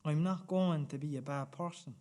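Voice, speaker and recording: An actor playing a volatile, defensive brother in a radio play, arguing and swearing in exasperation. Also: male voice, a little high, normal speed, darkish tone, lightly acted. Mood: strained, menacing. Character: volatile, defensive older brother bickering with his sister.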